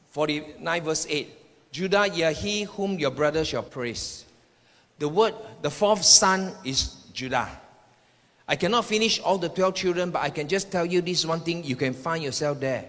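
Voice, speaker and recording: A male preacher with a high-pitched, forceful voice delivers a sermon in a hall with long reverb. He speaks in emphatic declarations, often repeating phrases for weight. His tone is bright and sharp as he addresses the congregation. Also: lively intonation, measured pace. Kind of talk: sermon with personal prophetic words to the congregation.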